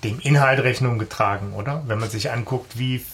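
A man's voice: medium pitch, a little fast, a bit bright. A man discussing things casually as co-host of a fan podcast.

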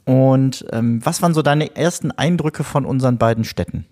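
A man hosting a parish podcast, talking in a chatty, relaxed way with friendly asides. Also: medium pitch, normal speed. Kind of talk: casual podcast interview.

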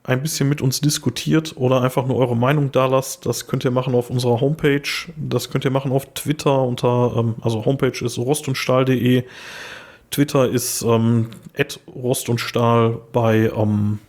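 Slightly hoarse man chatting loosely. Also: medium pitch, steady tone, normal speed, a little mellow. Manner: rambling, many fillers and restarts. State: a little hoarse. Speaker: podcast co-host. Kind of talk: casual chat.

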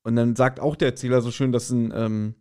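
A man's voice: medium pitch, flat delivery, a little fast, a little mellow, clear, resonant tone. A man co-hosting a podcast, casually retelling a story and acting out the quotes as he goes. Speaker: podcast co-host. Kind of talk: casual chat, retelling an audio drama episode.

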